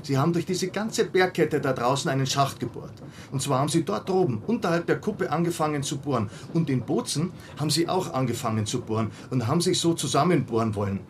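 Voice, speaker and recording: A man's voice: fairly high pitch, expressive, fairly quick. An actor playing a talkative, eager local man who explains things earnestly in a German radio play. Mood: eager, chatty. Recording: distant, with faint ambient noise.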